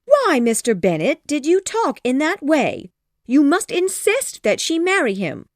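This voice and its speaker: A woman acting out a shrill, anxious mother who frets over everything. Her voice jumps high and pleads in lively swings. The sound is close and dry. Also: normal speed, no room sound. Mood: indignant. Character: fussy anxious middle-aged mother, shrill and fretful.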